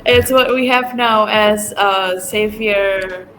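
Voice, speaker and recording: A woman teacher from Indonesia being interviewed on a podcast, explaining things clearly. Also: mid-range voice, steady tone, takes it slowly, a bit bright. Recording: music clearly behind voice.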